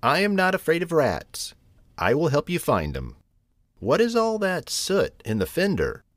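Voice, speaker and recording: A bossy lady cat neighbour from a children's storybook, put on by a man, with a raised pitch. She is brisk, critical and no-nonsense, swinging widely in tune. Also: huge pitch swings, fairly bright tone, dry recording, studio quality, heavily acted.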